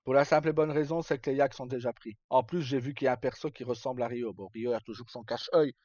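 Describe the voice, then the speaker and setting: A man's voice: medium pitch, steady tone, fast, darkish tone, dry recording. Man chatting casually, muffled dry sound. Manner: informal, mildly sweary. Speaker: livestream host. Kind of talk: casual chat.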